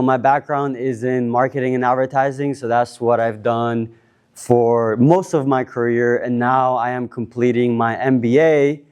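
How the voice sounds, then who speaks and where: A man in his twenties from Cuba, introducing himself on a panel in a relaxed, rambling way with many fillers and restarts. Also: medium pitch, smooth. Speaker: panel speaker. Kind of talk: casual self-introduction at a panel.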